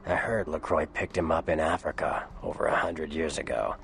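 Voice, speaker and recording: An actor voicing a cynical, sly Nosferatu vampire informant in a video game, with a gravelly American voice and a dry, sardonic manner. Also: male voice, a bass voice, steady tone, normal speed, fairly bright tone, faint music behind, heavily acted. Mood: guarded. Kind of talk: video game character dialogue.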